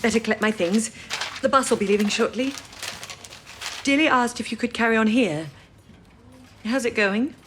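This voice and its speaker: A woman playing a sensible, educated wartime codebreaker in a period radio drama, speaking in a measured, reassuring way. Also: a little high, background noise, lightly acted. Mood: sober, reassuring. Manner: measured, explanatory, composed.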